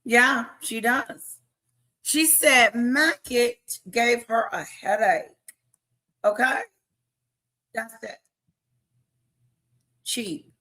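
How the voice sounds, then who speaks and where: A woman with a raspy voice hosting a livestream, chatting frankly and casually with her guest. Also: a little high, pushed and bright. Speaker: livestream host. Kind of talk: casual chat.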